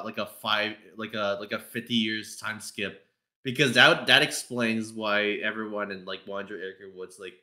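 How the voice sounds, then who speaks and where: Bright-voiced man, halting and rambling. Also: on the low side. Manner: halting and rambling, repeats and restarts phrases. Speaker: podcast panelist and fandub voice actor. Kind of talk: casual chat.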